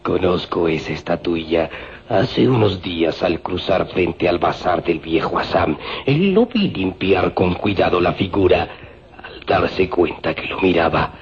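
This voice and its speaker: An actor playing a greedy, boastful hired killer in a radio serial, with an eager, leering, melodramatic manner. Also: male voice, low-pitched, animated, unhurried, creaky, breathy edge, full character voice. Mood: intrigued. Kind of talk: Spanish-language radio serial acting. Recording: dull old radio recording.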